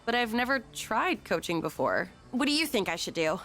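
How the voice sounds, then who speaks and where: A woman's voice: mid-range voice, sing-song, normal speed, fairly bright tone, soft background music. A woman playing an upbeat, sporty teenage girl who coaches kids firmly, in an anime game dub. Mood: hesitant, then firm. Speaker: student volunteer coach.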